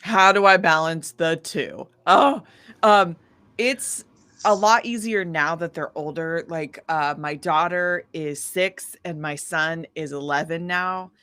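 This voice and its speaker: A woman in her thirties talking casually as an interview guest, animated and gushing, peppering her talk with "like" and "you know". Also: fairly low pitch, slow pace, clean tone, no room sound. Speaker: interview guest, independent singer-songwriter.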